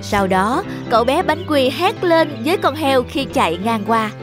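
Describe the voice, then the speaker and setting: A woman tells a scripted children's story in a fairly high voice. Clear background music plays under her narration. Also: lively intonation, moderate pace.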